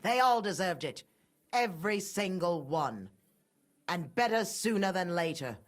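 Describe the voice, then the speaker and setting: A woman's voice: fairly low pitch, animated, measured pace. An actress playing a middle-aged, sanctimonious and scornful mother, a pious murderess without remorse, in a dramatic video game scene. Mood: cold contempt. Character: pious, murderous mother, haughty, fanatical and unrepentant.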